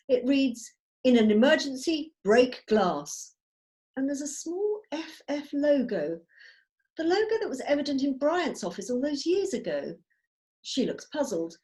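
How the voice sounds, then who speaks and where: A woman's voice: sits high, darkish tone. A woman narrating scripted stage directions at a drama table read held over a video call.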